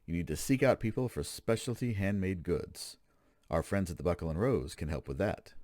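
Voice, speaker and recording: Deep-voiced theatrical male radio host. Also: lively intonation, normal speed, a little mellow, no echo, clean studio sound. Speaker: host and announcer of a radio play program. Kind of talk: old-fashioned radio announcing, scripted.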